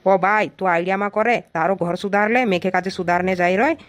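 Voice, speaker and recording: A woman's voice: mid-range voice, fast talker, natural voice. A woman voicing a stubborn, argumentative young village son in a cartoon comedy, always complaining and answering back.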